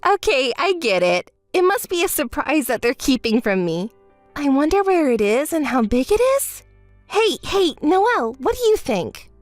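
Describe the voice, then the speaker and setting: A woman's voice: high-pitched, very animated, moderate pace, no echo, faint music behind. A woman voicing a spoiled, greedy young woman who whines, demands and shrieks in a dramatized story. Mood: gloating. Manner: whiny, shrieking, self-pitying.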